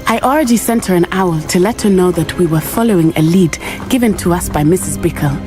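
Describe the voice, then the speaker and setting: A woman's voice: fairly low pitch, lightly acted. A woman with a Southern African accent playing an earnest, determined teenage witch in a video game cutscene, speaking formally over music. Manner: formal, measured, resolute wording. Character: earnest, determined teenage girl witch, grieving her father. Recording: music under the voice.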